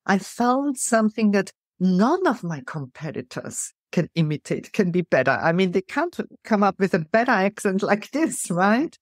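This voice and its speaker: Animated woman with a European accent. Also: medium pitch, normal speed, hardly any pauses, no echo, clean studio sound. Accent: mixed European. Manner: animated, emphatic storyteller. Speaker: guest, keynote speaker. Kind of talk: storytelling interview answers.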